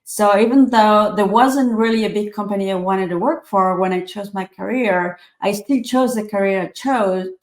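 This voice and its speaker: A woman in her forties from Ecuador speaks at a middle pitch, earnest and reflective. She pauses on fillers and restarts her sentences. Her voice bounces around a roomy, echoey space. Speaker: author and tech speaker. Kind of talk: interview answers.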